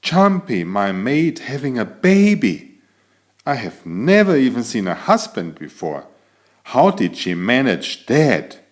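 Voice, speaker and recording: An elegant storybook tomcat played by a man who is very pleased with himself. He speaks slowly, with pitch that rises and dips freely, and talks straight to the children listening. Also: huge pitch swings. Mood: surprised. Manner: self-admiring, theatrical, addresses young listeners directly. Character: elegant, vain, chatty tomcat telling his adventures. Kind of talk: animated children's picture-book narration.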